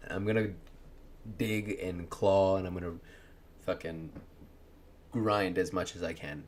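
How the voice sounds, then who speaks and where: Young guy, lowish voice, casual swearing talker, earnest rambler. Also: fairly even intonation, measured pace, slight background noise. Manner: rambling, earnest, swears often, laughs a lot. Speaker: content creator. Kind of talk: casual chat.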